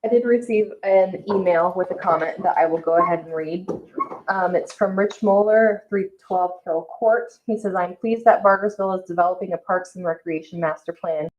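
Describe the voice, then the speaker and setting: A woman's voice: on the low side, moderate pace. An American woman reading a written public comment aloud at a town meeting. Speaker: town meeting staff member. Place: indoor public meeting room. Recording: dull, muffled meeting-room audio.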